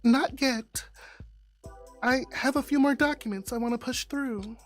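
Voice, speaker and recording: Woman putting on a high, weary young office lady. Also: measured pace, faint music behind. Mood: weary, evasive. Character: tired, reserved young female office worker.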